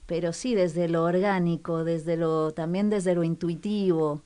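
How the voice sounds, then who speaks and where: A woman co-hosting a reflective radio chat, pausing as she searches for words. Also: lowish voice, steady tone, warm tone, clean tone. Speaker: radio show co-host.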